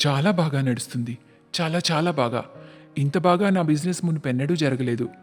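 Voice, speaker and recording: A proud, intense young businessman , acted by a man in a cartoon tale. He speaks emphatically and dramatically in a slightly high male voice over background music. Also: fairly quick, close to an everyday voice. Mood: grateful, tender. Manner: emphatic, dramatic, repeats words.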